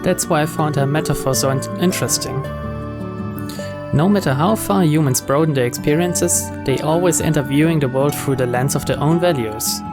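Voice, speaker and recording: A man's voice: on the high side, normal speed. A man hosting a let's play, reading a visual novel's narration aloud. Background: game music.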